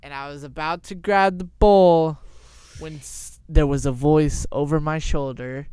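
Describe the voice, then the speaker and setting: Clear-voiced young man telling a story. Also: a little high, steady tone, warm tone, dry recording. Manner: halting, informal telling. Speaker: storyteller, show host. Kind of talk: improvised spoken storytelling.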